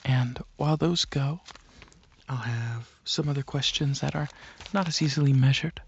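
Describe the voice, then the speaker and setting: A man performing soft-spoken ASMR roleplay as a calm alien dragon examiner, hesitant and clinical, with a breathy voice that slips into whispers. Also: medium pitch, muffled recording, slight background noise. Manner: hesitant, pausing, clinical questioning.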